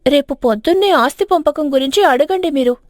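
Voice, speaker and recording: A woman's voice: high voice, fairly quick, dry recording, studio quality, natural voice. A woman voicing a discontented, assertive young village wife in a story, complaining and insistent.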